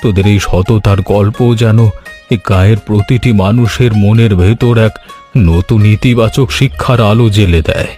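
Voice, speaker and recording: A man's voice: on the low side, normal speed, dark tone, faint music behind, natural voice. A man voicing a kindly old village uncle in a cartoon story, with a fatherly, moralizing manner. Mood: warm, blessing. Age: older. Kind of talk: Bengali animated story voice acting.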